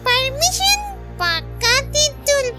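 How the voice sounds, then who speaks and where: A very high, shrill female ghost, gloats and threatens in a slow sing-song full of cackles. She is a gleeful, menacing cartoon spirit, with music clearly playing behind her. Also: very animated, bright, forceful tone, far from the mic, heavily acted. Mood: angry, threatening.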